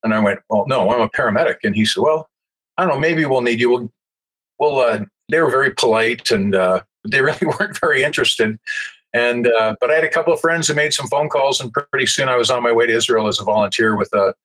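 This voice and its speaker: A man's voice: medium pitch, quick pace, a bit bright, rough recording. A man in his sixties with a Canadian accent, telling stories conversationally as an interview guest. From Alberta, Canada. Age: 60s. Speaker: interview guest, retired paramedic. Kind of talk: conversational storytelling.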